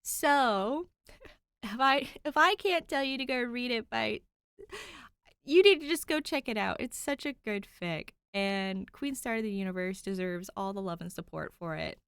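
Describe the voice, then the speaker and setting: A woman podfic creator chatting casually to her listeners in an excited, gushing manner. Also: fairly high pitch, measured pace, smooth, no echo, studio quality.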